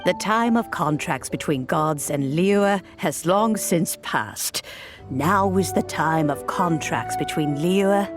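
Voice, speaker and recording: Lively, low-voiced motherly woman. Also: slow pace. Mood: wise, resolute. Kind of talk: English video game voice acting. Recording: music playing behind the voice.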